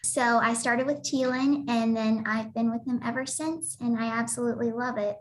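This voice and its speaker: A woman's voice: on the high side, fairly even intonation, normal speed, low-quality recording. A young woman guest speaking bubbly and giggly about her dancing. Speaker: dance director.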